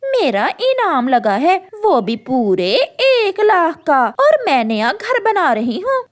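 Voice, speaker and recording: A woman's voice: extremely high pitch, huge pitch swings, slow, exaggerated voice. A woman voicing a quarrelsome, melodramatic mother bird in a cartoon, scolding and dramatic. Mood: scornful, boastful. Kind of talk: exaggerated cartoon dialogue.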